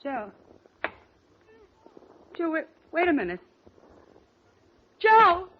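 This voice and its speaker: Lively-pitched femme fatale. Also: female voice, drawn-out words, heavily acted. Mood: frightened, pleading. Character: scornful young femme fatale growing nervous. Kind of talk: 1940s radio drama acting. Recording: lo-fi 1940s radio recording, faint noise.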